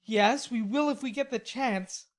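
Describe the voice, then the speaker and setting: A woman's voice: high voice, measured pace, pitched above the performer's own voice. A woman voicing a hot-tempered, heartbroken young man who pleads in vehement outbursts.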